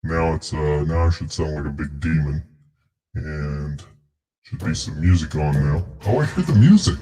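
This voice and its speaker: A man's voice: normal speed, dark tone, husky. A man using a voice changer to sound like a big male demon, chatting casually on a live stream. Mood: amazed. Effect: voice changer pitch-down.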